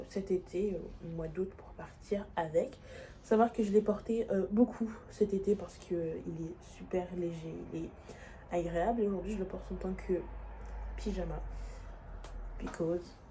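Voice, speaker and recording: A woman's voice: medium pitch, warm tone, poor audio. A woman with a cold talks into her phone at home, her nose stuffed up and her chatter rambling and full of little hesitations. Her voice sits in the middle range. Noticeable noise hums behind her. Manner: chatty, rambling, many fillers and restarts. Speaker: vlogger. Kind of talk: casual diary vlog.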